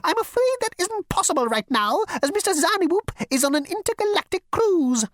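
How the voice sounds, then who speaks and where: A prissy, petulant insect receptionist, squeaking out icy replies in a voice pitched way up high. It talks with a haughty, flouncing edge and swoops all over the place, recorded close and dry. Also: female voice, sing-song, fairly quick, no echo.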